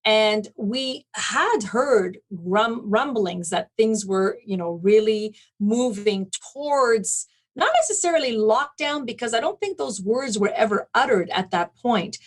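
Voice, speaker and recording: Woman guest on an interview, slightly high voice, explains stuff in detail, chatty and articulate. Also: animated, measured pace, few pauses. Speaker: interview guest, event planning business owner. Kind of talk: conversational interview storytelling.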